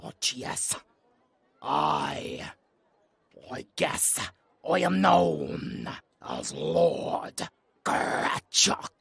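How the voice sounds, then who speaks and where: A man voicing a grave old goblin lord in a fantasy audio drama, with a gravelly, breathy growl and a formal, solemn manner. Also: a bass voice, wildly swooping pitch, drawn-out words, pauses often, fairly bright tone, no room sound, exaggerated voice. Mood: solemn, proud. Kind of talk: fantasy audio roleplay acting.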